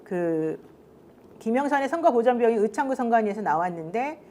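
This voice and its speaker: Fast-talking animated woman talk show host. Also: on the high side. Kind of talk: live political commentary, explaining recordings.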